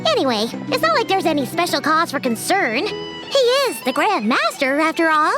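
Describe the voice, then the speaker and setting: A very high, bouncy little fairy girl who chatters eagerly and swoops up and down as she talks. Her game dialogue sits over music. She sounds like a playful animated sidekick. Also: normal speed. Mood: chatty. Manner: eager, chattering, refers to herself by name. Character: tiny floating fairy girl companion, chatty and curious. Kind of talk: game voice acting.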